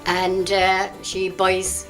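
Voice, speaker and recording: A woman's voice: medium pitch, monotone, very slow, low-quality recording. A woman with an Irish accent chatting casually in an interview about amateur theatre. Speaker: amateur actress being interviewed. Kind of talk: casual chat. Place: launch event at an arts centre. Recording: music playing in the background.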